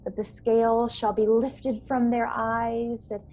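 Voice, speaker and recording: A young woman playing an earnest, courteous starship officer in a radio play, measured, polite and gently persuasive. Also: on the high side, level tone. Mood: polite, solemn. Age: young adult. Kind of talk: radio play acting over video call. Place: small bedroom, indoors. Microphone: earbuds on a video call. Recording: clear background noise on video call.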